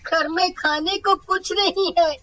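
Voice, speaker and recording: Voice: very high voice, steady tone, unhurried, pushed and bright, dry recording, clean studio sound, heavily acted. An adult voicing a poor shoeshine boy who becomes a ghost, pleading and insistent in a cartoon horror story. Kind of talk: Hindi animated horror story dialogue.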